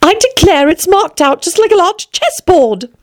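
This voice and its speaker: A woman voicing a curious, polite and excitable little girl in a storybook reading. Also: very high voice, unhurried, voice pushed up. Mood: excited, delighted. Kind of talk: storybook character voice.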